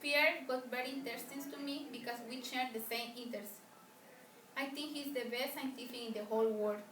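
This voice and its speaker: A woman acting an earnest woman scientist, high-voiced. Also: fairly even intonation, fairly bright tone, natural voice. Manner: halting, earnest, formal non-native phrasing. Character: famous pioneering woman scientist, polite, earnest, proud talk-show guest. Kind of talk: amateur acted interview for a student film. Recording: roomy, distant mic, faint noise.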